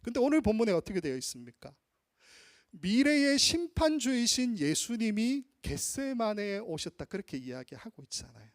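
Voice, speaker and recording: A man preaching an expository sermon as pastor, teaching in a measured, explanatory way and slowing down to spell out old word forms. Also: sits high, sing-song, no echo, clean studio sound. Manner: teacherly, draws out Greek and Aramaic word forms slowly. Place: church sanctuary.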